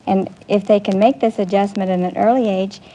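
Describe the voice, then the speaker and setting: A woman's voice: mid-range voice, steady tone, fairly quick, warm tone, low-quality recording. A woman answering politely and earnestly as a quiz show contestant on a vintage broadcast. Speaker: contestant, nursery school teacher. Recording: vintage broadcast, audience in background.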